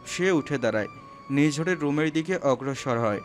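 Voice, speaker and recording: A man's voice: medium pitch, expressive, normal speed. A man narrating a scripted romantic story, with music playing underneath.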